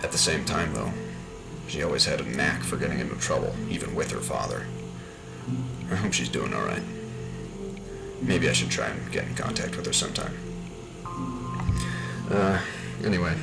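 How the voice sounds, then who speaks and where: Brooding male investigator, audio drama case log. Rock-bottom gravelly register, deliberate and musing, music score underneath. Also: a bass voice, heavily acted. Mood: wistful, weary. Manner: deliberate, musing, self-questioning.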